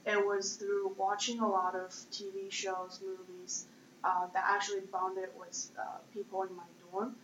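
A woman's voice: medium pitch, level tone, measured pace, a bit bright. Young Chinese-accented woman in echoey room. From Shanghai, China. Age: 20s. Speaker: startup founder being interviewed. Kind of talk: conversational interview answers. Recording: echoey room, dull sound, faint noise.

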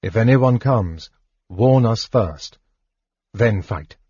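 A man voicing Tanis, a determined warrior leader giving short, sharp orders. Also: fairly low pitch, expressive, slow, husky, no echo, muffled recording, full character voice. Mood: grim. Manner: sharp, urgent.

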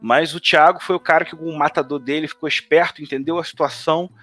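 A man's voice: on the high side, fairly even intonation, fast, pushed and bright, no room sound, soft background music. A man with a Northeastern Brazilian accent, a tabletop game designer chatting casually and rambling with many restarts. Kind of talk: casual conversation on a live stream.

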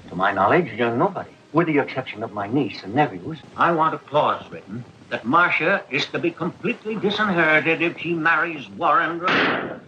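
A man's voice: on the high side, animated, moderate pace, hardly any pauses, pushed and bright. An actor playing an anxious, stern older man in a 1930s film, speaking in a grave, formal, hesitant way. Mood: grave, uneasy. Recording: muffled, noisy 1930s film soundtrack.

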